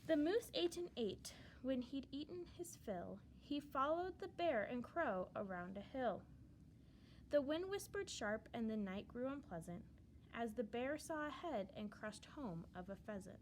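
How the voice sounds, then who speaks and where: A woman reads a rhyming children's story aloud in a high voice. Her intonation is very lively, lifting and falling with dramatic emphasis on the verse. A slight noise sits behind her narration. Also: unhurried, poor audio.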